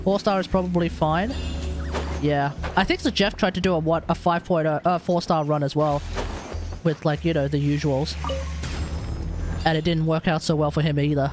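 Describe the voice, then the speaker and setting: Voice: medium pitch, steady tone, moderate pace, pauses often. A game streamer giving casual, informal and reactive live commentary in a chatty way. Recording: game music behind the voice.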